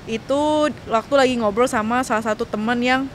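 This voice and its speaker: A woman's voice: on the high side, fairly even intonation, fairly quick, a little hiss or hum. A young adult woman with an Indonesian accent, chatting casually as an interview guest and acting out quoted dialogue as she tells her stories. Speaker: guest, professional golfer and coach.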